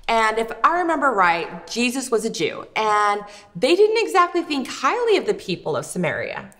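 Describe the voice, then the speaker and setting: A woman's voice: on the high side, wildly swooping pitch, normal speed, fairly bright tone, big-room sound. A woman in her thirties hosting a children's show with scripted, playful, exaggerated expression. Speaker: children's puppet show host. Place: indoor wooden puppet stage set.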